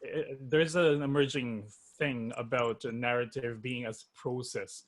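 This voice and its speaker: A man's voice: mid-range voice, steady tone, unhurried. A man taking part in an online discussion among composers, speaking hesitantly with many fillers as he works out his thoughts. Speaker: composer, panel guest.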